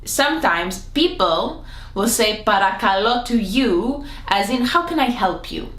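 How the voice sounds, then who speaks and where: A woman gives a comedic language lesson at an unhurried, deliberate pace. She is playful and theatrical, with sudden jumps in pitch, and her voice echoes around a roomy space. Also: expressive, a bit bright. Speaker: Greek language teacher. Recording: echoey room.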